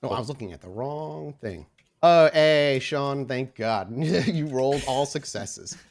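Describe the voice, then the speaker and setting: A man's voice: mid-range voice, expressive, measured pace. A man working as game master, narrating a tabletop roleplaying game. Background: background music.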